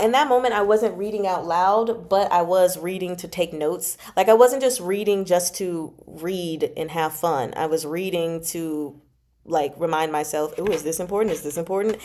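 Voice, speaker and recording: A woman with a slightly low voice hosts a relaxed livestream chat. She talks informally with viewers, drifting into giggly asides as she answers their comments. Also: normal speed. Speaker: livestream host reading chapters aloud and chatting with viewers.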